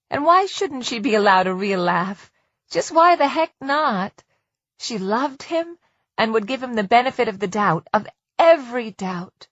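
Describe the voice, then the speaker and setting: A woman's voice: medium pitch, dry recording. A woman reading scripted fiction as an audiobook narrator, recorded close with slightly muffled audio.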